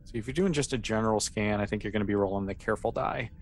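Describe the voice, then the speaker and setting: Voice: medium pitch, rapid-fire. A game master casually improvising narration for a tabletop sci-fi game, in an easygoing, hesitant way, with everyday phrasing.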